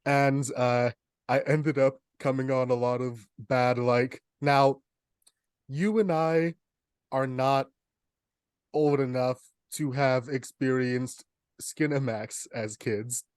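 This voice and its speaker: A young man talks as a podcast co-host, spinning humorous stories in a casual way. His voice sits at an ordinary male pitch, and the sound is dry with hardly any room in it. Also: steady tone, slow, darkish tone, smooth, no echo. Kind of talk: casual chat, humorous storytelling.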